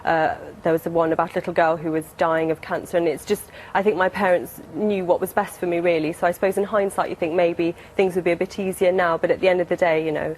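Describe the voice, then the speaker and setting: A British woman in her twenties chatting casually as an interview guest, softening what she says as she goes. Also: lowish voice, steady tone, quick pace, few pauses, slight background noise, poor audio. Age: 20s.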